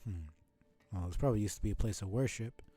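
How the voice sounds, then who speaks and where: Low mellow-voiced man thinking aloud. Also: fast, soft background music. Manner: thinking aloud, self-correcting, mild swearing. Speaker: streamer playing a game. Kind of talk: casual live gameplay commentary.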